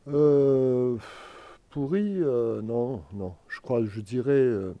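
A man's voice: medium pitch, very slow, no echo, highs cut off. A man giving unscripted answers in a group interview, hesitant and reflective as he searches for words. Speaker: interviewed guest.

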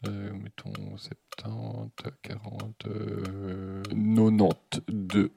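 A man's voice: on the low side, completely flat delivery, extremely slow, creaky, mellow, slightly rough. A man, casually speculating and hesitantly thinking aloud. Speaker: listener guessing about a voice.

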